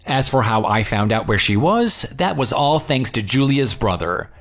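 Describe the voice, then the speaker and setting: A man's voice: medium pitch, very animated, moderate pace, fairly bright tone. A man playing a self-assured, unyielding jilted groom who leads and narrates an audio drama in a dry, sarcastic manner. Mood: matter-of-fact. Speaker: narrator and lead character. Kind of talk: audio drama dialogue and first-person narration. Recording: music bed under the voice. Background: background music.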